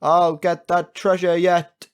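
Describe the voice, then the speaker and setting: A man voicing a vengeful, villainous pirate captain in a children's audiobook, shouting slowly with menace. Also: high voice, flat delivery, clear, resonant tone, voice pushed up.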